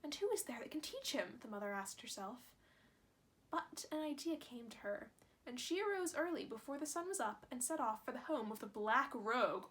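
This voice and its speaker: A woman's voice: high voice, a bit bright. A young woman reading a fairy tale aloud as a host, with chatty, self-deprecating asides. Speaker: channel host.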